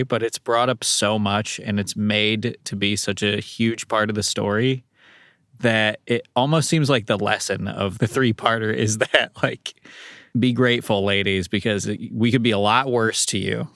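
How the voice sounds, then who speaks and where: A man's voice: lowish voice, gravelly, fairly bright tone, no echo, clean studio sound. A man chatting casually on a podcast in a joking, irreverent manner.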